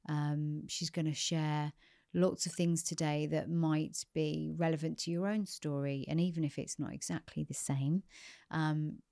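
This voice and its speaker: Woman podcast host, really low voice, chatty and encouraging, dry close sound. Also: no echo. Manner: warm, encouraging, chatty with many fillers. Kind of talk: interview hosting.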